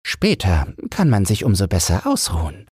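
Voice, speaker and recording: A man voicing a wise, resigned old mermaid grandmother in a thin, breathy falsetto, slow and instructive, for a fairy-tale audiobook. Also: huge pitch swings, darkish tone, no room sound, studio quality, heavily acted. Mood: resigned cheer. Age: older.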